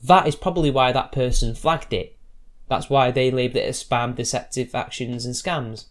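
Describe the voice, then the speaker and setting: A man delivering sarcastic reaction commentary in a middle-pitched voice. His talk is loose and rambling, full of restarts, with a combative, dismissive edge. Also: fairly even intonation, normal speed. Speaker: YouTube commentator. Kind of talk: reaction commentary rebutting read-out posts.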